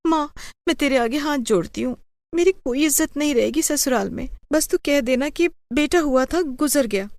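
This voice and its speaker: Woman playing an anxious, high-pitched pleading young wife. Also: normal speed, a little mellow, dry recording. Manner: pleading, fretful. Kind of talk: dramatised Hindi moral story acting.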